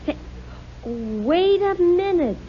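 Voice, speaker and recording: An actress playing a sensible, practical wife in a 1950s radio sitcom, on a dull, noisy 1950 radio recording. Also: female voice, very high-pitched, lively intonation, drawn-out words, exaggerated voice. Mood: plotting. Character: sensible, scheming young wife.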